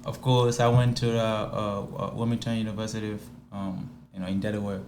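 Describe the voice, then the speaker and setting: A man's voice: fairly low pitch, level tone, fairly quick, roomy sound, background noise. A man from Liberia chatting as an interview guest, hesitant, with lots of ums and restarts. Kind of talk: casual interview chat.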